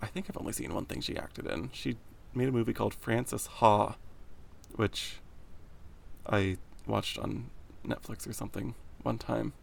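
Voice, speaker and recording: A young man co-hosting a podcast, talking in a deep, slightly gravelly voice with a flat, deadpan, sarcastic delivery. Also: a little slow, slight background noise. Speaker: podcast co-host. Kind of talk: casual chat.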